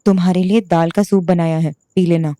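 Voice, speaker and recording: A woman's voice: on the low side, steady tone, fairly quick, warm tone, no echo, clean studio sound, close to an everyday voice. An actress playing a bitter, vengeful young woman in an audio drama, with a cold, guarded manner. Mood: curt, guarded.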